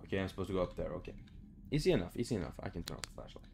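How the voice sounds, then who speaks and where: A young man with a low voice talks casually through a game, muttering to himself and swearing freely. He is recorded in a room at home with faint noise behind him. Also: expressive, racing, creaky, a little mellow. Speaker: gaming video host. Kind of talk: casual let's play commentary. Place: small room indoors at home.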